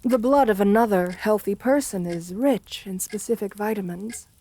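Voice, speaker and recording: A woman's voice: mid-range voice, moderate pace, dark tone, lightly acted. Woman acting a seductive, genteel vampire countess. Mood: genteel, coaxing. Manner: flirtatious, soothing, genteel. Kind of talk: intimate ASMR roleplay.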